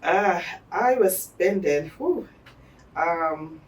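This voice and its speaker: An American woman telling stories in a casual interview, talking fast with slang and laughing often. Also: on the low side, animated, recorded from a distance, poor audio. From United States. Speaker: interview guest.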